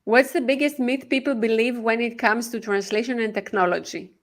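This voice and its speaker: A woman hosting a live podcast interview, speaking briskly with frequent filler pauses. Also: a little high, steady tone. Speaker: podcast host.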